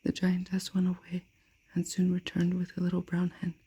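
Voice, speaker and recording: A woman's voice: on the low side, completely flat delivery, moderate pace. Woman reading a fairy tale in whisper. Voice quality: breathy. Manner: soft unvoiced whisper. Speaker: storyteller. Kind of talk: whispered ASMR storytelling.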